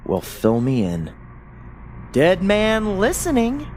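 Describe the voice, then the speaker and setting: An actor in an audio play as a bewildered, sarcastic secret agent who talks in an agitated, demanding way. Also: male voice, sits high, huge pitch swings, slow pace, darkish tone, slight background noise, exaggerated voice. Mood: sarcastic disbelief. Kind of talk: audio drama acting.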